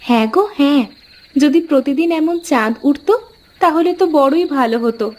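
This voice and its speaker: A woman's voice: high-pitched, a little fast, a little mellow, lightly acted. A woman voicing a caring, practical village farmer's wife in a cartoon folk tale, homely and coaxing. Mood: gentle, wistful.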